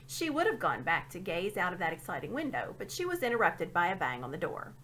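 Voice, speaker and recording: A woman reading a storybook aloud as an expressive narrator. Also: on the low side, fairly quick, a little hiss or hum, rough recording. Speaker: storybook reader.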